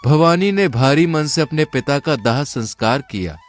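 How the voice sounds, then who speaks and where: A man's voice: a little high, lively intonation, moderate pace, soft background music. A man narrating a scripted folk tale as a storyteller.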